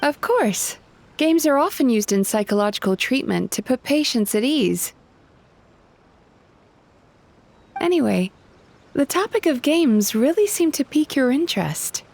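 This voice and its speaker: A woman voicing a friendly, composed yokai therapist in a video game, polite, measured and reassuring. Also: high-pitched, big pitch swings, gravelly, a little mellow, exaggerated voice. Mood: composed, businesslike. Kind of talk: scripted video game dialogue.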